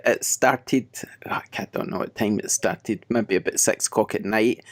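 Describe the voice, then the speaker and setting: A man hosting a podcast with a cold that makes his voice croaky, chatting casually and laughing at his own slips. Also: on the low side, normal speed, dry recording. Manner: chatty, self-mocking, laughs often. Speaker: podcast host. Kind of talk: casual chat.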